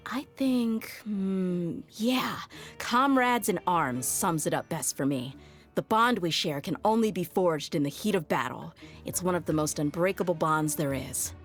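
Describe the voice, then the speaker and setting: A woman voicing a tough, easygoing young desert mercenary in a video game, speaking in a casual, confident, wry and plain-spoken way. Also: fairly low pitch. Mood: warm, candid. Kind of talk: scripted game character voice lines.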